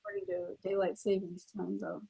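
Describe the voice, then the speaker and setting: A woman's voice: medium pitch, level tone, brisk, vocal fry, mellow, slightly rough, low-quality recording. An older woman with casual delivery, reminiscing as a guest on a panel. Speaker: panel guest, former child radio actress.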